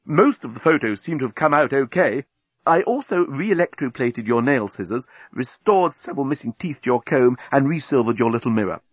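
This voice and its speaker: Man voicing a polite, husky alien corporal. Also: mid-range voice, expressive, a little fast, dry recording. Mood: eager to please. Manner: courteous, earnest. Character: polite, eager, adoring male alien corporal. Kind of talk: audiobook character voice.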